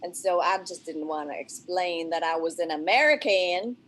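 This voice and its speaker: A woman's voice: lowish voice, normal speed, fairly bright tone, slight background noise, poor audio. Playful, giggly woman improvising comedy. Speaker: guest.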